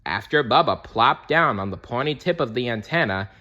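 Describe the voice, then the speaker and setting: A man reading a children's storybook aloud as scripted narration, dramatic and expressive. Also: medium pitch, moderate pace, lo-fi sound. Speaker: storybook reader.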